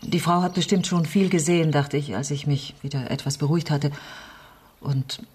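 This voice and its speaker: An actress playing an embittered, outspoken West German politician in a radio crime drama, with a husky voice and sardonic, emphatic delivery. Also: female voice, sits low, moderate pace, darkish tone. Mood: wry, reflective. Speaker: lead actress and first-person narrator.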